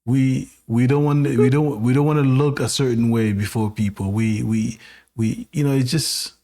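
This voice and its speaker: A man hosting a spiritual podcast, speaking haltingly with many restarts and a sermon-like build. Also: mid-range voice, steady tone, noisy background. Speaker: podcast host.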